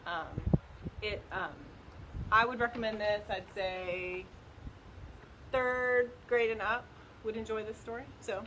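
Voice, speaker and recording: A woman's voice: medium pitch, slow, long pauses. A middle-aged woman recommending books casually as a co-host, speaking informally and hesitantly outdoors. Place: outdoors in wind. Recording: wind noise on the microphone.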